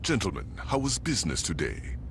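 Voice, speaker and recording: An actor playing a commanding, menacingly calm gang boss in a video game, speaking in formal, measured phrases. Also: male voice, deep voice, a little hiss or hum. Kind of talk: video game cutscene acting.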